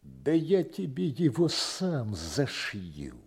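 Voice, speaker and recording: Gruff slangy tough guy, menacing n needling, soviet radio play, swingy intonation, drawn out slow. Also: mid-range voice, wildly swooping pitch, a little mellow, full character voice. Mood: sullen. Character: menacing, slow-talking tough pretending to be crazy. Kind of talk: Soviet radio drama acting.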